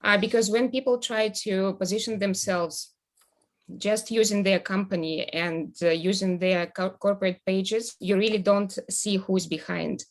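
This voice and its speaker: A woman hosting an online talk who introduces the guest in a measured, upbeat way. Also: mid-range voice, fairly even intonation. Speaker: host.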